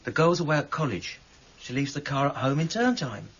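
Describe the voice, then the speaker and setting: A man's voice: fairly high pitch, lively intonation, a little fast, a bit bright, slightly rough, dull audio, exaggerated voice. An actor playing a keen, feeling police sergeant, a junior man in a radio crime drama. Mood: keen, earnest.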